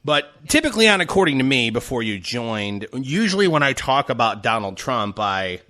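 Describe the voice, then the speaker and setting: A man's voice: mid-range voice, normal speed. A man hosting a talk show, playfully setting up bits for his co-host. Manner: lively, playful. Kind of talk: radio-style talk show.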